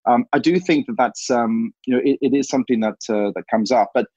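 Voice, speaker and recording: A man's voice: mid-range voice, a little fast, darkish tone, husky, no echo. A man in his thirties from Hong Kong, answering interview questions in a rambling way, full of "you know". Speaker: interview guest. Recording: dull lo-fi sound.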